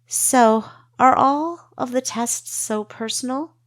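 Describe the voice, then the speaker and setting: A woman's voice: on the high side, takes it slowly, no echo, voice pushed up. A woman voicing a wary young female spy trainee, asking pointed questions in an audiobook. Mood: questioning.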